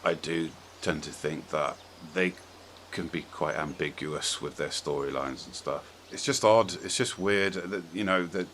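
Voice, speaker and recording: A man with a notably deep voice hosts a relaxed, casual discussion. He rambles tentatively, working out his thoughts aloud. Background noise is audible in the recording. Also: unhurried, hardly any pauses. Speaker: podcast host. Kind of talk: casual film and game chat.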